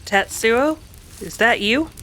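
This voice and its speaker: A woman playing a resolute but vulnerable widowed frontier woman in an old-time western radio drama, with a dramatic, halting delivery. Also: a little high, lively intonation, sharp tone, a little hiss or hum, exaggerated voice, low-quality recording. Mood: wary, alarmed.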